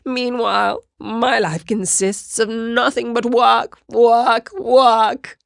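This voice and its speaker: A pompous talking tomcat from a children's storybook, performed by a woman. He is vain and forever aggrieved, stretching out his words in a slow, theatrical drawl. The sound is close and dry. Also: on the high side, sing-song, fairly bright tone, no echo, heavily acted. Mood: indignant, aggrieved. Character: grumbling, vain, indignant male talking cat tutor.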